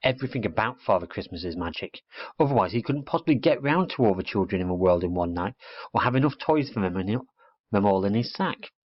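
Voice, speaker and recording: A man voicing a proud, bossy little girl who lectures in a high storybook voice, on a lo-fi recording. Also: animated, fast. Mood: explaining.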